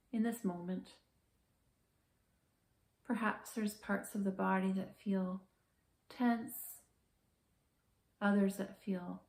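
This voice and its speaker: A woman guiding a body scan meditation in a calm, gentle, measured manner with slow, soothing pauses. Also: medium pitch, creaky, roomy sound. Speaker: meditation guide, therapist.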